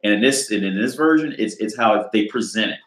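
A man's voice: lowish voice, animated, brisk, roomy sound. A man talking in a casual monologue, rambling with many repeated words and restarts, blunt and crude. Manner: confrontational, crude.

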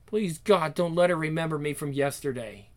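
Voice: medium pitch, moderate pace, darkish tone, close to an everyday voice. A performer voicing a troubled, sarcastic, self-conscious young teenage girl in a dramatic inner monologue for a story. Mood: anxious, mortified.

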